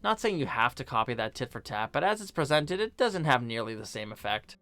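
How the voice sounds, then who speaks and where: A man's voice: medium pitch, sing-song, a little fast, sharp tone, no room sound, faint music behind. A man narrating a scripted film review as host, with casual, opinionated, conversational asides.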